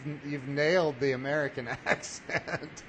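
A man hosting a casual interview, excitable and quick to react with surprise. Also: fairly high pitch, slight background noise, rough recording. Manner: excitable, says dude and wow a lot. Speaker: interview host.

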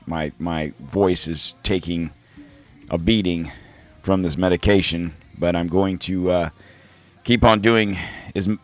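Deep, hoarse-voiced man hosting a casual podcast with soft music underneath. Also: no room sound. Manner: rambling, self-deprecating, reads sponsor ads. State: hoarse from throat trouble. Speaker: podcast host. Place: home podcast studio.

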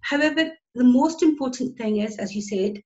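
A woman's voice: high-pitched, normal speed, darkish tone. Scottish woman, forties, interview guest; earnest, emphatic delivery, muffled lo-fi audio. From Scotland. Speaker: guest, business connector. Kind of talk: casual interview chat. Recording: dull lo-fi audio.

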